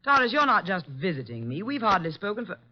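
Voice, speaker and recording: Tart, animated woman suspect. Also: mid-range voice, fast. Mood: tart, suspicious. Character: tart, indignant, defensive woman under suspicion. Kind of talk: old-time radio detective drama acting. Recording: dull lo-fi old radio recording.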